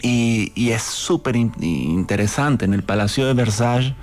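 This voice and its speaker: A man from Venezuela, a painter invited onto a radio show, speaking earnestly and haltingly with repeated words. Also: mid-range voice, a little mellow. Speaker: guest painter. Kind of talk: radio interview.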